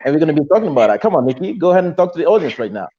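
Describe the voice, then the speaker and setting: A man's voice: on the high side, sing-song, racing, breathy edge, muffled recording. A man from the DR Congo hosting a live-stream interview show, talking effusively with lots of restarts and fillers. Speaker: show host.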